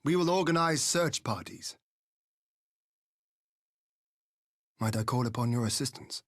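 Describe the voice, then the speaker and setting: An actor playing a composed, responsible young lord in a dramatic game scene, speaking formally and decisively. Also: male voice, mid-range voice, expressive, fairly quick, fairly bright tone, dry recording, studio quality. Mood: apologetic, steady.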